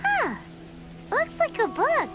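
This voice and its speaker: A tiny fairy companion girl in a video game. The voice is extremely high and squeaky, swinging wildly in pitch. The delivery is childlike, bubbly and exclamatory, over prominent music. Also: wildly swooping pitch, takes it slowly, exaggerated voice. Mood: curious. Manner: bubbly, exclamatory, refers to self in third person.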